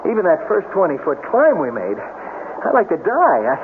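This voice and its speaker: A man's voice: high voice, wildly swooping pitch, normal speed, exaggerated voice. An actor playing a plain-spoken young American man trapped in a cave, in 1970s radio drama style. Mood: rueful. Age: young adult. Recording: noisy, phone-like old radio broadcast.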